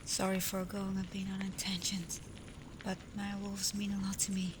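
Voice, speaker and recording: A woman voicing a caring, protective female alpha werewolf in an intimate ASMR roleplay, speaking softly, often near a whisper. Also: mid-range voice, completely flat delivery, moderate pace, noisy background, close to an everyday voice. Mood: gentle concern. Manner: soft, breathy, often near a whisper, soothing. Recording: loud storm ambience over the voice. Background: thunderstorm and rain ambience.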